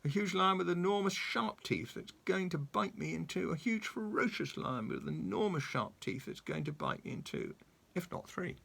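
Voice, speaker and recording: Grandpa doing a panicky little guy, squeaky and shrieky, voice jumps all over. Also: big pitch swings, normal speed, creaky, a bit bright, heavily acted. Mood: panicked. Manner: shrieking, stammering, melodramatic. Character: jittery panicky little man, easily terrified.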